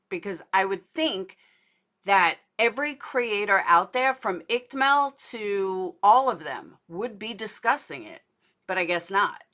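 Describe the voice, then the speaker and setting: A woman's voice: medium pitch, bright, forceful tone. A woman giving casual livestream commentary in a blunt, indignant, sweary manner, as host of a true crime show.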